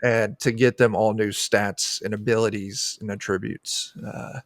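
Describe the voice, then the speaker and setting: A man speaking as a game designer in a casual interview over a video call, pausing to search for words. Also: lowish voice, little pitch movement, no echo, clean studio sound.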